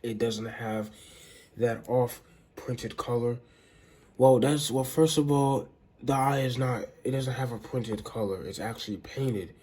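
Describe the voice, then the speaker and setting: Congested man with a low voice. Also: a little mellow, slight background noise. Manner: rambling, halting, restarts sentences often. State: congested, stuffy nose. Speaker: toy reviewer. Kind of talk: casual unscripted review.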